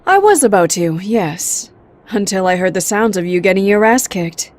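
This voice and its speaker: A woman voicing a proud, imperious Amazon warrior in an animated comic, speaking in a haughty, curt and blunt manner. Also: mid-range voice, moderate pace, close to an everyday voice. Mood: stern disdain.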